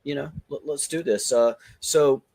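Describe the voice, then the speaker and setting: A man's voice: mid-range voice, measured pace, vocal fry, darkish tone. A middle-aged man hosting a podcast, chatting casually about toys in an informal, joking way. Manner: jokey, informal, swearing. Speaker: podcast host.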